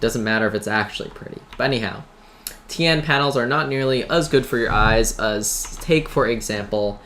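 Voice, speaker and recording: A man's voice: medium pitch, normal speed, fairly bright tone. A man hosting a gaming video with casual, rambling commentary and tongue-in-cheek, self-deprecating asides. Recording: noisy home mic, keyboard clatter. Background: typing and background noise.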